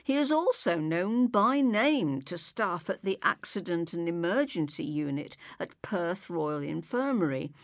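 A woman's voice: mid-range voice, dry recording, studio quality. A woman reading scripted narration for an audio magazine. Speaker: magazine programme reader.

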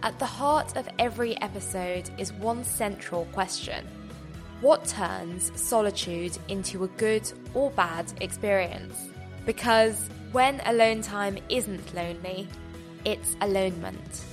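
A woman hosting a podcast, reading a measured scripted introduction and then asking her guest questions. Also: mid-range voice, music in the background. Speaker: podcast host.

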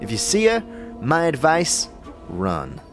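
An actor playing a sarcastic, wisecracking floating skull in an audio drama, irritable and mocking. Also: male voice, fairly high pitch, slow, full character voice. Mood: wry warning. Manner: irritable, mocking, animated exclamations. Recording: music behind the voice.

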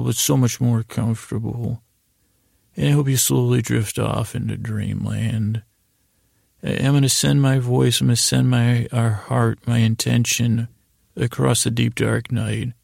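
An American man hosting a bedtime podcast in a gravelly, creaky voice, with a soothing, meandering, halting delivery full of stammered restarts. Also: mid-range voice, darkish tone, no room sound, studio quality. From United States. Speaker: podcast host. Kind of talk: rambling bedtime-story podcast intro.

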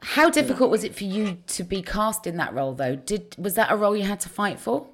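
A woman's voice: mid-range voice, expressive, fairly quick. A woman hosting a casual interview, asking questions and teasing her guest. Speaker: podcast host.

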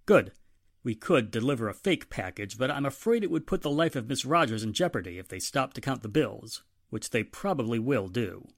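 A man's voice: mid-range voice, expressive, brisk. Man playing a swooping-voiced, fatherly police inspector. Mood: businesslike. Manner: admonishing, authoritative. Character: stern, fatherly police inspector, cautious and exasperated. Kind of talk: 1940s radio drama acting.

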